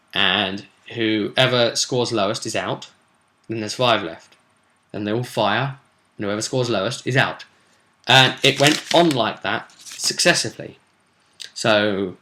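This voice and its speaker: A man's voice: fairly low pitch, bright, forceful tone. A man with a British accent giving a chatty, emphatic recap of a tabletop roleplay session as its host, talking straight to his audience. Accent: British English. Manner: emphatic, conversational, addressing the audience. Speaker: game master and host.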